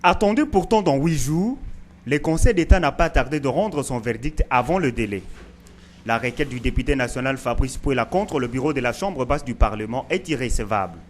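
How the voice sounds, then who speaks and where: A man reading a scripted news report in a measured, formal way. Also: mid-range voice, expressive, a little hiss or hum.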